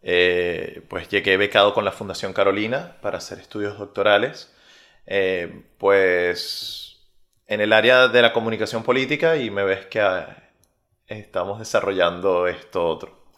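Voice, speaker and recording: A young Venezuelan man with a Venezuelan Spanish accent, a researcher answering interview questions in a measured way with frequent pauses. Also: lowish voice, fairly bright tone. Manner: measured, many filler "eh" pauses.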